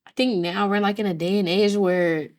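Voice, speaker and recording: A woman's voice: medium pitch, fairly even intonation, moderate pace, darkish tone. A teenage girl in a youth discussion, talking animatedly and repeating words as she thinks. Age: teens. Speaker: youth participant. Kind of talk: casual discussion.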